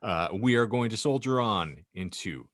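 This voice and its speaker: Animated, punning male podcast host. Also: lowish voice, normal speed, no room sound, clean studio sound. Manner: playful, punning, hammy. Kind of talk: comic podcast banter and reading.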